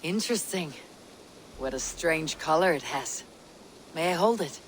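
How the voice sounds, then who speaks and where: Low-voiced, blunt Viking warrior woman. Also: lively intonation, unhurried, slight background noise, low-quality recording. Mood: measured. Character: proud, blunt female Viking warrior of the Raven clan. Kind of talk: video game cutscene acting.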